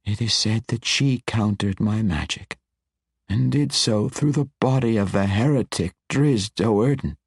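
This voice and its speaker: A man voicing a despondent male dark elf wizard who speaks slowly and mournfully. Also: lowish voice, husky, dry recording, clean studio sound, voice pushed up. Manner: slow, mournful, hushed.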